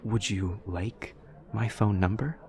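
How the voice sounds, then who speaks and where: A man's voice: lowish voice, steady tone, takes it slowly, a little mellow. A man playing an awkward, earnest young demon student in an audio roleplay, speaking in a formal, literal, over-explaining way. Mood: earnest, matter-of-fact. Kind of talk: audio roleplay acting, addressing the listener.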